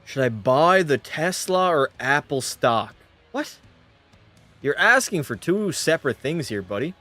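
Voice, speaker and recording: A young man from Canada talks in loose, profane banter while streaming a hockey video game. His voice sits a bit on the high side, and he reads out viewers' messages between plays. Faint game music plays underneath him. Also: very animated, a little slow, sharp tone. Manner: loose, profane banter, reading chat aloud. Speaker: gaming streamer. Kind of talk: casual live-stream commentary.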